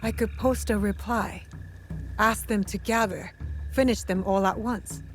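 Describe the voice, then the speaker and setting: A woman playing a resolute, guarded young female warrior in a video game, speaking in a measured, serious way. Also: mid-range voice, steady tone, faint music behind, lightly acted. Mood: grim, thoughtful.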